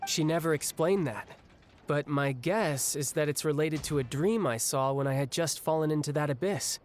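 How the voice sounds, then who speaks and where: An actor playing a young, restless, battle-hungry warrior in a video game, speaking in a breezy, reflective way. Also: male voice, a little high, moderate pace, a little hiss or hum, lightly acted. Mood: pensive. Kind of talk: video game cutscene acting. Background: game music.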